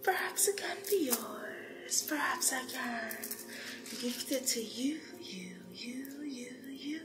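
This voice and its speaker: A woman playing a seductive, teasing demoness hostess in an ASMR roleplay, speaking in breathy half-whispers and lingering on repeated words. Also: sits high, lively intonation, takes it slowly, music in the background. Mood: teasing, alluring. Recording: roomy echo, clear background noise.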